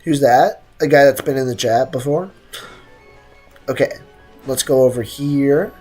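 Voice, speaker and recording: A woman's voice: very deep voice, unhurried, a little mellow, husky, faint music behind. A woman doing casual, slangy live gameplay commentary, teasing and reactive, with a slightly sick throat. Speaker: game streamer.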